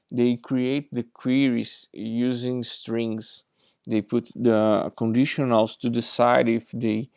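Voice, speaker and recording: A Brazilian man in his thirties walks through a software demo, his speech slow and full of fillers. His voice has a gravelly edge, and the recording is dry and close. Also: mid-range voice, no echo. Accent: Brazilian Portuguese. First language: Portuguese. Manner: hesitant, many fillers, non-native grammar. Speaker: software engineer presenting a project demo. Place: indoors at a desk with a laptop.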